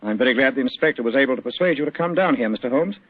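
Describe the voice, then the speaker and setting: A man playing a polite, anxious young gentleman landlord, earnest and well-spoken, in 1940s radio drama. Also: mid-range voice, lively intonation, rapid-fire, close to an everyday voice. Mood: worried. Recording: tinny old radio recording.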